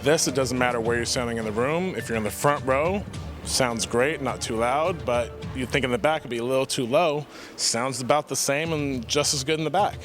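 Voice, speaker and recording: A man's voice: mid-range voice, a little fast. A man who works as a theater technical director, giving an earnest, informal, explanatory testimonial in an interview. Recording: music playing behind the voice. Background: background music.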